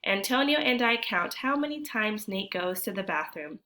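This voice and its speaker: A woman teacher reading a picture book aloud to children, expressive and deliberate, pausing to put questions to her young listeners. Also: medium pitch. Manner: addresses children directly, deliberate and animated.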